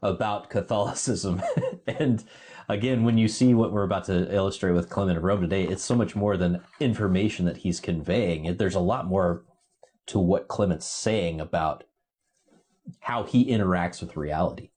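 A man with a low voice reflects aloud during a relaxed podcast conversation. He muses and circles his ideas, with frequent fillers and the odd chuckle. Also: moderate pace. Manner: reflective, musing, many fillers. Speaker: podcast co-host. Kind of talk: casual discussion.

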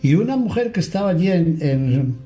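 Un sacerdote que conduce un programa de radio y cuenta anécdotas con humor y risas ligeras. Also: male voice, high-pitched, very animated, brisk, warm tone, faint music behind. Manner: jovial, chuckles at his own anecdotes. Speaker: priest and radio host. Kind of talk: relaxed storytelling on a call-in radio show.

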